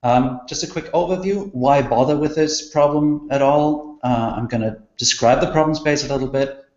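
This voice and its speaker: A man's voice: mid-range voice, normal speed, a little mellow. Male tech presenter, echoey muffled sound. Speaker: back-end developer presenting. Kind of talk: informal technical presentation. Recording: roomy, muffled online call audio.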